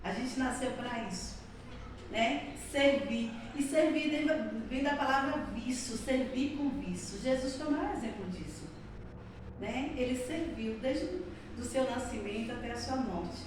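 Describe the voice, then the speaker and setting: A woman's voice: high voice, expressive, a little slow. A middle-aged woman from Salvador with a Bahian accent, giving an earnest spiritist lecture that leans on repetition and tag questions. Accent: Bahian Brazilian Portuguese. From Salvador, Bahia, Brazil. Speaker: spiritist speaker. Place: spiritist center hall. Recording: echoey hall from far off, noisy.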